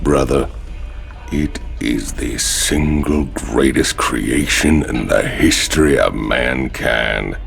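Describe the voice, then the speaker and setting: An actor playing a big, swaggering outlaw marine in a video game, gravelly. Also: male voice, rumbling low, takes it slowly, fairly bright tone, soft background music, heavily acted. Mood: amused, boastful. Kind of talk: dramatic video game acting.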